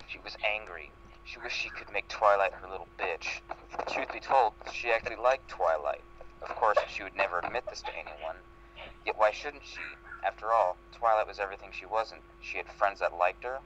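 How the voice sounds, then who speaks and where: A man's voice: fairly low pitch, moderate pace, highs cut off, background noise. A man reading fan fiction aloud as a dramatic amateur narrator. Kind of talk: dramatic group reading with laughter breaks.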